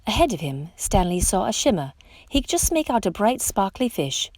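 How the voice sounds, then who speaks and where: Animated woman reading a children's story. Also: mid-range voice, normal speed, dry recording. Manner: expressive, dramatic storytelling. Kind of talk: animated picture-book read-aloud.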